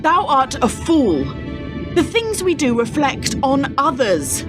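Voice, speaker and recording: Woman acting a slow, reproachful medieval noblewoman. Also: high voice, sing-song, exaggerated voice. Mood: accusing, alarmed. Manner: declamatory, measured, accusing. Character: reproachful, wounded medieval noblewoman, fearful wife and mother. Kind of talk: theatrical radio drama in archaic English. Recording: radio play with loud music behind.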